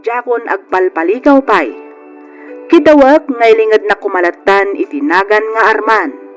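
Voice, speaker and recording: A male actor playing an earnest married man narrating his life in a letter for old radio drama, measured and heartfelt. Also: high voice, fairly bright tone.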